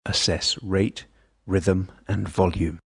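A man reading scripted medical instruction in a measured, precise, impersonal manner. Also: sits low, little pitch movement, creaky, slightly rough, no echo, studio quality. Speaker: instructional narrator.

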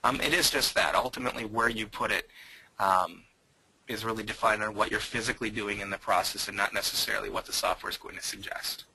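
A man presenting software in a webinar, answering audience questions with frequent hesitations. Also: on the low side, fairly even intonation, quick pace, gravelly, breathy edge, poor audio. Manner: hesitant, many um fillers.

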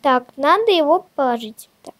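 A female host chatting casually in a toy unboxing video, showing things step by step in a simple, halting way. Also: sits high, expressive, fairly bright tone. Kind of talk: casual unboxing vlog chat.

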